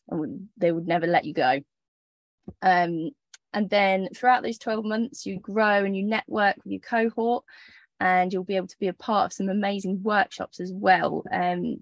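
Casting: woman, slightly low voice, dry close sound, presenting over a video call. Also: no echo. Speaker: programme coordinator.

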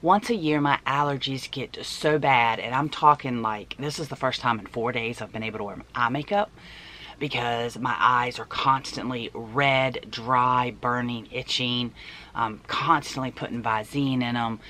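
A woman in her forties chats to camera with a hoarse, forceful edge to her voice. She is chatty and emphatic, like someone showing off a shopping haul at home. There is noticeable noise behind her. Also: extremely low pitch, steady tone, normal speed. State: hoarse voice from allergies. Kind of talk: casual chat to camera. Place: small indoor room at home.

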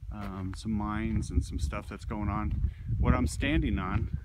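A man's voice: fairly low pitch, steady tone, measured pace. A man hosting a casual walk-around vlog, talking in a laid-back, rambling, plain-spoken way. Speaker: vlog host. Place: abandoned mine tunnel, then open desert hillside outdoors. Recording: handheld outdoor recording with clear background noise.

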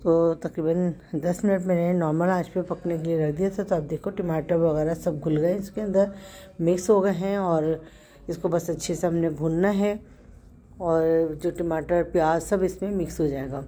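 A woman with a Pakistani Urdu accent and a hoarse, sore throat, chatting through a home recipe step by step and correcting herself as she goes. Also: fairly low pitch, steady tone, normal speed, dark tone. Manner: chatty, explains step by step with small self-corrections. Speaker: home cook host. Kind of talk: casual cooking narration.

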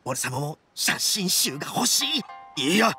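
An actor playing a brash, boastful and rather dim young oni gang boss in a video game, with cocky, rough slang. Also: male voice, fairly high pitch, expressive, measured pace, pushed and bright, faint music behind, full character voice. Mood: indignant, excitable.

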